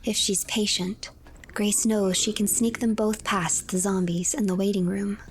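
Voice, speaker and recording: A woman streamer reading game files and narrating the story in a measured, deliberate way. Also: mid-range voice, little pitch movement, slight background noise.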